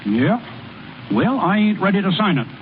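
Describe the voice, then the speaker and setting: An actor playing a cocky, stubborn small-town ballplayer with a boastful, rustic, ungrammatical way of talking in old radio comedy style. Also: male voice, sits high, sing-song, moderate pace. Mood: defiant, boastful. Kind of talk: 1930s-40s radio comedy acting. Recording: dull, noisy vintage radio recording.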